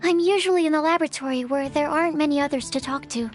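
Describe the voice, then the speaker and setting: Woman as a very high-voiced, shy young alchemist girl. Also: quick pace, lightly acted. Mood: shy, nervous. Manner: timid, apologetic, hesitant. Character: shy, nervous young female alchemist's assistant, earnest and bookish. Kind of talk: video game character acting. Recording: music playing behind the voice.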